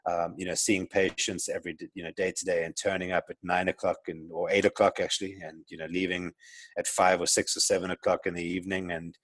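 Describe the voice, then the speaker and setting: A man's voice: low-pitched, little pitch movement, fast talker, a bit bright, no room sound. A middle-aged man with a South African accent, a podcast guest, talking reflectively with many restarts and fillers. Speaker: guest, entrepreneur. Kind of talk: casual interview conversation.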